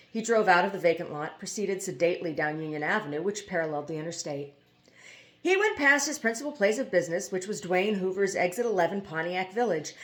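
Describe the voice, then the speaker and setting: A woman reading a novel aloud as scripted narration, now and then stumbling over a word. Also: lowish voice. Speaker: amateur audiobook reader.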